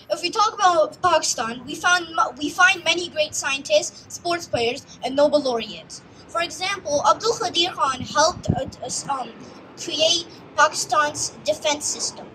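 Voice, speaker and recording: High-voiced young speaker, earnest recital. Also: female voice, bright, forceful tone, slight background noise, poor audio. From United States. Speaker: youth speaker. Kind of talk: prepared speech read aloud. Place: outdoor stage in a park.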